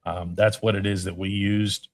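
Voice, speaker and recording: A man's voice: lowish voice, flat delivery, moderate pace, no room sound, studio quality. A man hosting a live sports podcast, reading viewer comments in a firm, emphatic way. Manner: firm, emphatic, repeats himself for stress.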